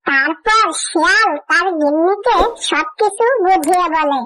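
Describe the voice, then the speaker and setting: Very high, bright woman storyteller. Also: unhurried, no room sound. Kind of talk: scripted fairy-tale narration for children.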